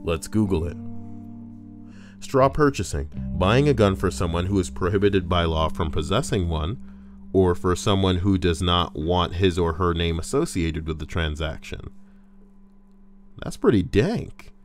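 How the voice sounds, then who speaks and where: A man's voice: deep voice, animated, measured pace, faint music behind. A man casually reading forum posts aloud on a livestream, breaking in with crude, joking asides.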